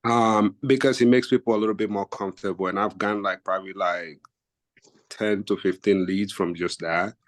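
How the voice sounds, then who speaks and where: A man from Nigeria, a real estate agent calmly walking his team through his methods on a video call. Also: on the low side, moderate pace, no echo. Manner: steady, methodical, stacks up examples with fillers. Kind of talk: casual explanation in a team video meeting.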